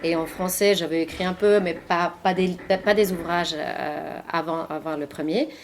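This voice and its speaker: Low-voiced Finnish woman, hesitant. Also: fairly even intonation, normal speed, slight background noise. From Finland. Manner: hesitant, many fillers and restarts. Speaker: interviewed author and journalist. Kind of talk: public talk at a library. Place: library meeting room.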